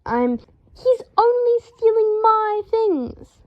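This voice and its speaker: A woman's voice: extremely high pitch, big pitch swings, drawn-out words, dull audio, pitched above the performer's own voice, heavily acted. A woman voicing a fussy, dramatic young wizard man who gets indignant and seethes, in an audiobook reading. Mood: hysterical rage. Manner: seething, hysterical outbursts, stiffly formal when restrained. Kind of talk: audiobook character voice.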